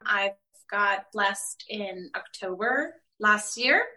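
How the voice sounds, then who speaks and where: A woman in her twenties from Austria, chatting casually on a video call, laughing often and pausing with fillers. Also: mid-range voice, bright, forceful tone, echoey room, recorded from a distance, poor audio. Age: 20s. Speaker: interviewee.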